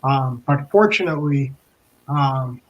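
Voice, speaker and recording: A man telling a personal story informally, in a halting way with repeated words. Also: mid-range voice, highs cut off.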